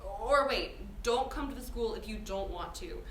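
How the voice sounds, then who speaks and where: A woman's voice: medium pitch, animated, normal speed, a bit bright, natural voice. A woman playing an urgent, earnest teenage girl at a screenplay table read. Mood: anxious. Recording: echoey, distant room mic with noise.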